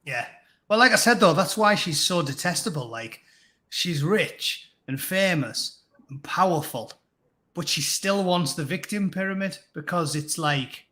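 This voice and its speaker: A man's voice: fairly high pitch, lively intonation, a little slow, bright, forceful tone. A man, a guest in a casual live chat, who talks bluntly and crudely in a ranting way.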